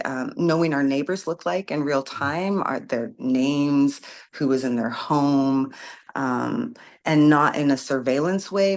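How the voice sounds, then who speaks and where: Reflective woman with many pauses. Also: sits low. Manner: thoughtful, many ums and restarts. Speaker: guest, community organizer and facilitator. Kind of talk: casual interview conversation.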